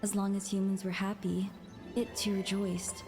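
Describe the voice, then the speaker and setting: A woman's voice: mid-range voice, level tone, moderate pace, darkish tone. Woman narrating a myth over music. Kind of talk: scripted storytelling narration. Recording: music under narration, played from video.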